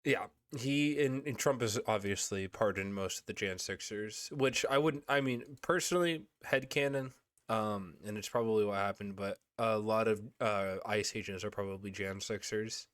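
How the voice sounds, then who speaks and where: A man's voice: fairly low pitch, normal speed, a bit bright, no echo. A young man in his late teens, congested, reacting to news on a livestream with frustrated, profane asides. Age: young adult. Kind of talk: casual livestream commentary.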